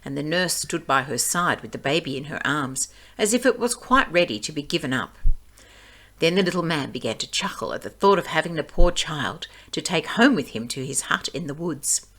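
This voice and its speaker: A woman reading a fairy tale aloud as storyteller, in expressive scripted narration. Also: deep voice, moderate pace.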